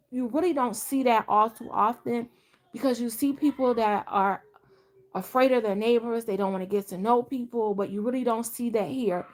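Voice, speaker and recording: A woman's voice: fairly high pitch, a little mellow. A middle-aged woman from Delaware chatting casually on a live stream, rambling and restarting her sentences as she talks. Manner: rambling, conversational, frequent restarts. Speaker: vlogger.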